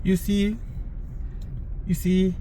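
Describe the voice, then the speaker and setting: High-voiced man musing while driving. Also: completely flat delivery, very slow. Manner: lecturing, reflective. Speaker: vlogger. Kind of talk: casual chat. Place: driving a car. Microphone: phone camera on the dashboard. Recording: loud car road noise.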